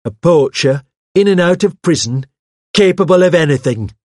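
A man voicing a nervous, obstinate man in an audiobook, with a shrill, fidgety and insistent delivery. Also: very animated, moderate pace, a little mellow, breathy edge, no echo, studio quality. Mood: indignant. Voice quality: shrill when agitated. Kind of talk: audiobook character voice.

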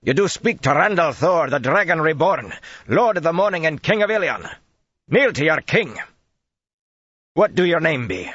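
Guy doing a shouty stuck-up lord, way higher and louder than the narration, dry lo-fi audiobook sound. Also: very animated, normal speed, pauses often, husky, dry recording, heavily acted. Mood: imperious. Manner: loud, imperious. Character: haughty nobleman snapping orders, man.

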